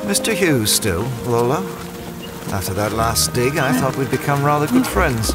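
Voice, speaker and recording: An actor playing a stubborn, reckless archaeologist adventurer in an audio drama, exasperated and sardonic with old-fashioned exclamations. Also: male voice, medium pitch, very animated, moderate pace, natural voice. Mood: wry. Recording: loud music behind the voice.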